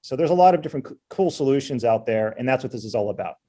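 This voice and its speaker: A middle-aged man with a mellow, warm voice hosts a casual webinar over a video call. He talks in a relaxed, chatty way, with plenty of fillers, at a middle pitch. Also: racing. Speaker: webinar host.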